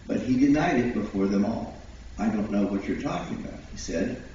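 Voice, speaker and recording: A man's voice: on the low side, lively intonation, a little fast, mellow, slight background noise. A man reading scripture solemnly as a church member at a service, recorded in a church. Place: large indoor church, distant mic. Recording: very echoey, distant, dull church recording.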